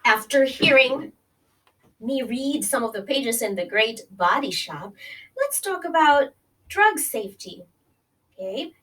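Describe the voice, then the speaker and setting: A woman with a fairly high voice leads a children's read-along lesson at a very slow pace. She speaks straight to her young listeners, pausing to explain and check in. The recording places her a little away from the microphone. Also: sing-song, a bit bright, recorded from a distance, rough recording. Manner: addresses young listeners directly with friendly check-ins like "okay?" and "right?". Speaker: teacher reading aloud to children.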